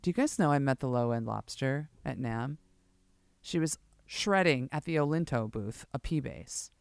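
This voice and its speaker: A woman bassist with a low voice, hosting a live session and chatting casually and self-jokingly to her viewers as she rehearses. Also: lively intonation, moderate pace, gravelly, no echo. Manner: rambling, self-joking, addresses viewers as "guys". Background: loud bass guitar and music.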